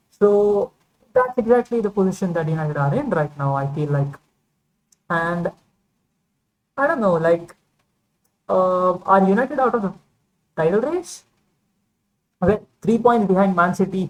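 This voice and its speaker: A woman's voice: lowish voice, darkish tone, low-quality recording. A woman talking football in a casual vlog monologue, rambling and hedging with many fillers and self-corrections. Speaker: football vlogger.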